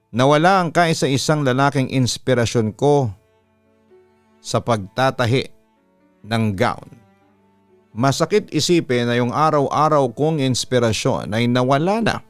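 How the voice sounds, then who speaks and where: A man's voice: mid-range voice, no echo. A man with a Filipino accent reading a letter as scripted narration in the manner of a radio storyteller, close to the microphone. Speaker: radio announcer and story channel host. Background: background music.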